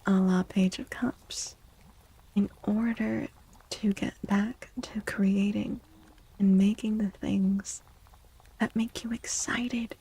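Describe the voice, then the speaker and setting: A soft-spoken woman livestreaming an ASMR tarot reading, gentle and soothing, with a breathy voice that often slips into a whisper. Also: mid-range voice, level tone, low-quality recording. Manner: gentle, soothing, unhurried reassurance.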